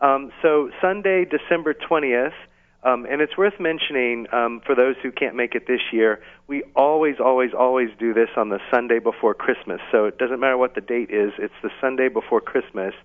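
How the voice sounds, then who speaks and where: A man's voice: mid-range voice, moderate pace. Man speaking over a thin phone line. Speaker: interview guest, community event organiser. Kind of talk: casual radio interview.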